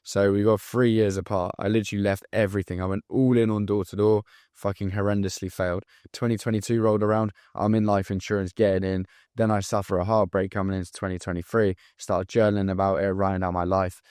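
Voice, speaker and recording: A man in his twenties from England with a southern English accent, talking straight to camera as a sales coach in a blunt, profane, hyped-up motivational pitch. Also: level tone, quick pace, hardly any pauses, darkish tone, clear, resonant tone, dry recording, studio quality. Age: 20s. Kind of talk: direct-to-camera pitch video.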